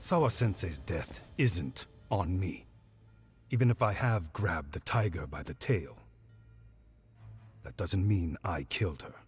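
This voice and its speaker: A curt, defiant prisoner. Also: male voice, deep voice, animated, measured pace, pauses often, breathy edge, soft background music, exaggerated voice. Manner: curt, dismissive replies. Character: cold defiant male prisoner, sardonic. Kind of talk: game voice acting, dub.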